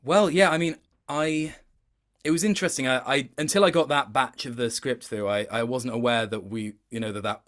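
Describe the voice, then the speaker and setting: A man's voice: medium pitch, fairly bright tone. A man speaking as a guest voice actor in an interview, explaining his work reflectively in stop-start phrases. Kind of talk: interview chat about performance work.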